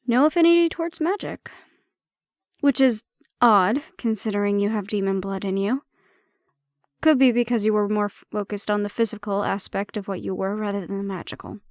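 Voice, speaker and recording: A woman playing a prickly but secretly caring handler in an intimate fantasy audio roleplay, speaking in a wry, measured, matter-of-fact way. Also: mid-range voice, no echo, clean studio sound, close to an everyday voice. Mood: musing, matter-of-fact.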